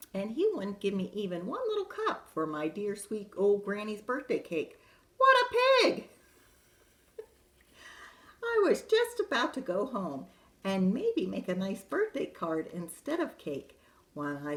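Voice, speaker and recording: A woman's voice: medium pitch, a bit bright, exaggerated voice. A woman voicing a sly, self-pitying male wolf who tells his side in a chatty, confiding way, in a roomy space. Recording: roomy echo.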